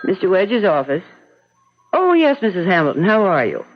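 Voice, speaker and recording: An actress playing a brisk, courteous law office secretary in an old-time radio drama. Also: female voice, on the low side, sing-song, slight background noise. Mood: businesslike. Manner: crisp, courteous, professional. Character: brisk, polite female law office secretary, protective of boss. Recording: dull lo-fi old radio recording.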